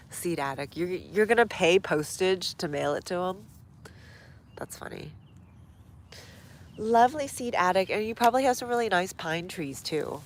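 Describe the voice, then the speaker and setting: A low-voiced woman with a stuffy nose, chatting casually to viewers while she works in her outdoor garden. She reads comments aloud and talks through each step as she goes. Faint outdoor ambience sits under her phone-camera audio. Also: slight background noise. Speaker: gardening livestream host.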